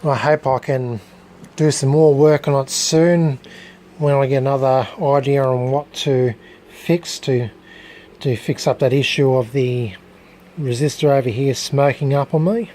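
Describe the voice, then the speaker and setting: Halting man in a noisy casual recording. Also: mid-range voice, steady tone, a little mellow. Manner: halting, searching for words. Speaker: hobbyist electronics restorer presenting a video. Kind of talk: casual unscripted demonstration.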